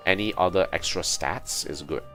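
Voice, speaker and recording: Very deep-voiced man muttering over a game. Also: fairly bright tone, soft background music. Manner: muttering to himself, halting, thinking aloud. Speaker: game livestreamer. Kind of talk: casual livestream gameplay commentary.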